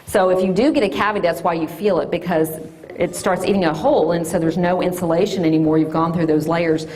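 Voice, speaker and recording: A woman, an orthodontist giving a loud, lively classroom talk to children. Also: on the low side, quick pace, a little hiss or hum. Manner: loud and lively, sing-song call-and-response.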